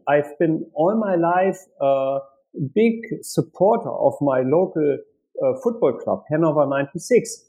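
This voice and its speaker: A man's voice: a little high, warm tone, low-quality recording. Man, author in an interview. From Germany. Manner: measured, with many fillers. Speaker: author guest. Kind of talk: academic interview.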